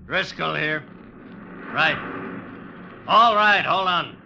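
An actor playing an anxious, blunt, dutiful junior ship's officer in a vintage radio drama, speaking in clipped phrases. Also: male voice, on the high side, takes it slowly, bright, forceful tone, exaggerated voice. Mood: brisk, tense. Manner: clipped, crisp reporting. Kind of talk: 1940s-50s radio adventure drama acting. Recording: noisy, dull vintage radio recording.

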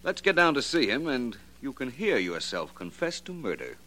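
An actor playing a cool, confident gentleman detective with an assured manner in a 1950 radio drama. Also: medium pitch, sing-song, rough recording. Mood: cool, taunting. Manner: assured, deliberate explaining.

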